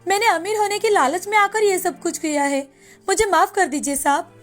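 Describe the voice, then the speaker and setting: Ambitious, nagging young wife for a moral cartoon, voiced by a woman: high-pitched, insistent, complaining. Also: normal speed, soft background music. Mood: pleading. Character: ambitious, greedy, nagging young wife selling ice cream. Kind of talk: animated moral-story character dialogue.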